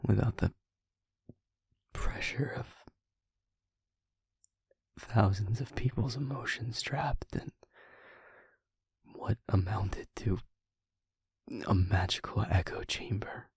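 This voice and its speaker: Man doing a worn-out gentle guardian, very deep breathy whisper, slow. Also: dry recording, full character voice. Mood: tired. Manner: soft hushed whisper, slow and steady. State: exhausted. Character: weary, gentle male protector, calm. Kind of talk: audio roleplay acting.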